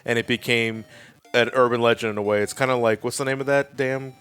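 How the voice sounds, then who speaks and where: A man's voice: mid-range voice, steady tone, brisk, fairly bright tone, clean tone, faint music behind. A man giving casual, explanatory commentary on a video game. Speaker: gaming commentator.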